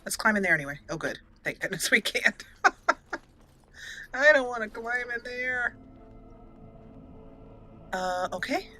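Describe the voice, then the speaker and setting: A woman's voice: a little high, lively intonation, a little slow, pauses often, sharp tone, faint music behind. A woman giving casual, chatty let's play commentary as a gaming host, playfully exclaiming and talking to the characters on screen.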